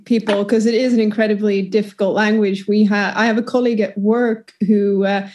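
A woman from the south of Sweden with a Swedish accent, chatting casually as a podcast guest, with many pauses and fillers. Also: medium pitch, flat delivery, darkish tone. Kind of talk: casual chat.

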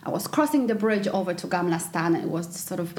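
A woman in her thirties, a native Polish speaker, talking as a podcast guest in a relaxed, reflective way, with many fillers and restarts. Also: on the low side, quick pace. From Poland. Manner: reflective storyteller, frequent fillers and restarts, laughs easily. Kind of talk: casual interview chat.

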